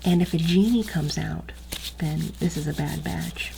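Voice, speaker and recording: A woman with a slightly low voice gives soft, breathy ASMR instructions, often dropping to a whisper. She moves step by step in a hushed, halting way. There is clear background noise, with small clicks. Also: rough recording. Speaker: ASMR performer playing a training instructor.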